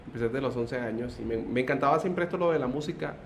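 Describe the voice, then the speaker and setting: A man's voice: mid-range voice, quick pace, slight background noise. Man with a Colombian coastal accent. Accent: Colombian Caribbean coast. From Barranquilla, Colombia. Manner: hesitant, many "eh" fillers, good-humored. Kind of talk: testimony in interview.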